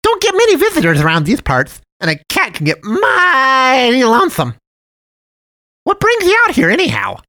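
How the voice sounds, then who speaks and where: A man's voice: extremely high pitch, wildly swooping pitch, normal speed, pushed and bright, no room sound, clean studio sound, voice pushed up, full character voice. A man voicing a jolly, chubby male cat man who lives in a dump, talking in a purring, folksy drawl. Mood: friendly, jolly. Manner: sweetly cajoling, theatrical.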